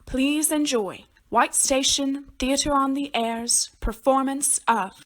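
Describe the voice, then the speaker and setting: A woman reading a scripted announcement for a radio theatre show in a deliberate way. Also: high-pitched.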